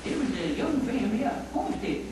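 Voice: medium pitch, very animated, fast, natural voice. A performer playing a folksy old Western storyteller with drawling rustic speech in an amateur puppet show. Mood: folksy, reassuring. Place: puppet show in a hall, far camera. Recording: echoey room, distant mic, noisy.

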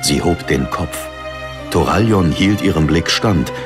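A man's voice: deep voice, animated, a little slow, music in the background. A man reading scripted dramatic narration for a fantasy audiobook.

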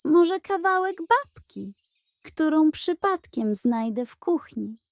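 Casting: storybook tomcat acted by a woman, sly, teasing, insinuating, lifted pitch. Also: very animated, slow, exaggerated voice. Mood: sly, secretive. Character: suspicious, teasing male cat, sly and mischievous.

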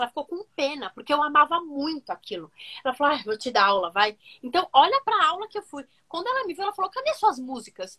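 A woman, telling stories on a video call from a room at home, animated and full of fillers. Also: high voice. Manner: animated, gestures a lot, many fillers. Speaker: music teacher guest. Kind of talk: casual storytelling interview.

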